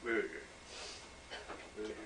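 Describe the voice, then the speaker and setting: A man's voice: slow pace, a bit bright. Terse elderly man in noisy home video. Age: 70s. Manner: terse, reluctant short answers. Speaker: grandfather being filmed at home. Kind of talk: casual chat. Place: small home, indoors.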